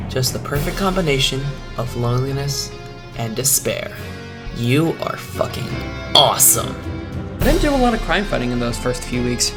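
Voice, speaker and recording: A man's voice: medium pitch, close to an everyday voice. A man voicing a geeky, idealistic teenage boy who narrates a comic dub in a wry, self-deprecating way. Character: geeky, idealistic teenage boy, self-made masked hero, wryly sardonic. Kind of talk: first-person narration and dialogue in a comic dub. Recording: loud music under the voice.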